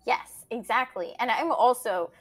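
A woman's voice: fairly high pitch, lively intonation, unhurried. A woman whose first language is Portuguese, talking casually as an editor and guest in an interview, laughing often and trailing into fillers.

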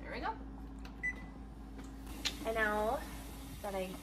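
A woman's voice: mid-range voice, lively intonation, slow. A young woman casually narrating a cooking vlog in her home kitchen, chatty and upbeat as she works. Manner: chatty, upbeat, talks while working. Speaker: vlogger. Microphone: camera on the counter. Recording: loud background noise.

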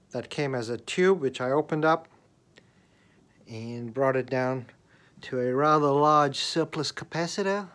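A man giving a casual, deliberate hands-on explanation as a radio hobbyist, recorded indoors on a handheld camera. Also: medium pitch, fairly bright tone. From Australia. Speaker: hobbyist radio enthusiast demonstrating a homemade antenna. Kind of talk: casual video demonstration. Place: indoors in a bedroom. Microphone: handheld camera, held by the speaker.

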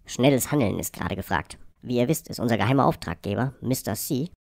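A man's voice: medium pitch, animated, quick pace, gravelly, a little mellow, no echo. Man playing a bossy secret-agency chief, dry recording. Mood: stern, urgent. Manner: commanding, mock-serious briefing. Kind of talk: satirical cartoon dialogue.

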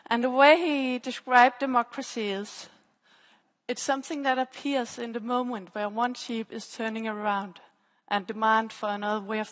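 A woman researcher gives an academic talk. Her voice sits slightly high, and she explains by restating her phrases. The headset sound carries the long echo of a large hall. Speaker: PhD researcher presenting at a conference. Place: stage in a large dark auditorium. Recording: echoey hall with long reverb.

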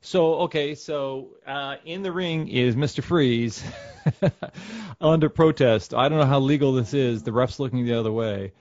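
Male co-host, playful and relaxed, dry slightly dull sound. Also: mid-range voice, unhurried, no echo. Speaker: podcast co-host. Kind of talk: casual chat.

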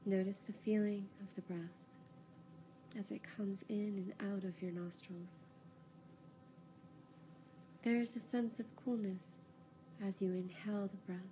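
A woman guiding a yoga nidra relaxation, giving calm, unhurried, soothing instructions with pauses. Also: mid-range voice, slight background noise, rough recording. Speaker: yoga nidra meditation guide. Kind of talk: guided relaxation meditation, slow instructions.

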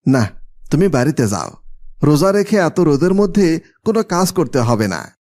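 A man's voice: a little high, very animated, normal speed, a little mellow. A man playing a poor, pious and earnest village farmer father in a cartoon. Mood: firm, caring. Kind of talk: cartoon voice acting.

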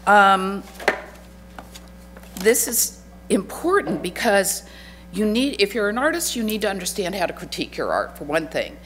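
A woman's voice: medium pitch, big pitch swings, normal speed, pauses often, fairly bright tone, faint music behind. A middle-aged woman giving an art lecture at a podium microphone, chatty and self-deprecating, with a faint hum behind her. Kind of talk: lecture to an adult audience. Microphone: podium microphone on a stand.

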